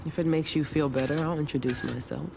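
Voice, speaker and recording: An actress playing a cocky, sarcastic synthetic sniper in a video game, sly and dry in delivery. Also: female voice, low-pitched, fairly even intonation, fairly quick, gravelly, heavy background noise. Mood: impatient, sardonic. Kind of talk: video game character acting.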